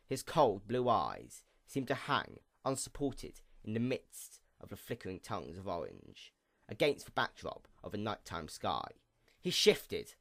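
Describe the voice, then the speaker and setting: A man reading a fantasy story as a narrator, deliberate and dramatic, with pauses for effect. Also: fairly low pitch, fairly bright tone.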